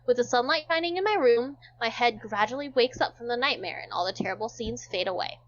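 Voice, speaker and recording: A woman with a high voice reads a visual novel aloud on a stream. She slips between dramatic narration and casual banter. The audio is slightly dull and lo-fi. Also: expressive, a little fast.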